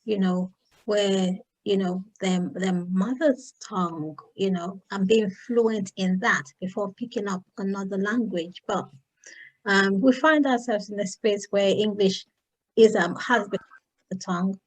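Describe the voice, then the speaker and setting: A woman's voice: medium pitch, steady tone, a little slow, poor audio. Thoughtful Nigerian woman hosting. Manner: thoughtful, with many fillers. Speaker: host. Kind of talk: casual panel discussion.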